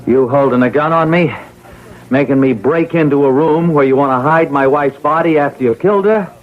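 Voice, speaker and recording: An actor playing an anxious, wavering small-time crook in a classic radio drama, hesitant, stammering and pleading. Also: male voice, on the high side, normal speed, natural voice. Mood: bitter. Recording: dull lo-fi old radio recording.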